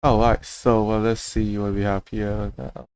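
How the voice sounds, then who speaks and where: A man's voice: fairly low pitch, flat delivery, unhurried, darkish tone, smooth, no echo. A man casually commentating live gameplay as a streamer, thinking aloud as he goes. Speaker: game livestreamer. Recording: game music behind the voice. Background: video game music.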